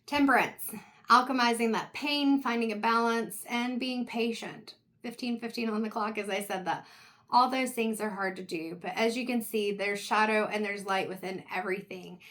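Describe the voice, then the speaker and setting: A woman giving a casual tarot reading straight to the viewer. She is losing her voice and keeps clearing her throat as she talks in a meandering, reflective way. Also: on the high side, fairly even intonation, moderate pace, a bit bright. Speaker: tarot reader.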